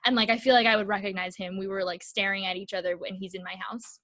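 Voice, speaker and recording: A woman's voice: mid-range voice, level tone, fast talker, fairly bright tone. A young woman co-hosting a podcast, telling stories casually and animatedly, peppering her speech with "like" and acting out dialogue. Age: young adult. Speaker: podcast co-host. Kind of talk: casual chatty storytelling.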